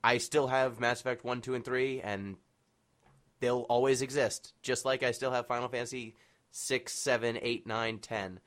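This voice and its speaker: A man's voice: medium pitch, fairly even intonation, normal speed, fairly bright tone. A man hosting a casual chat podcast, opinionated and bantering. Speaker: podcast host.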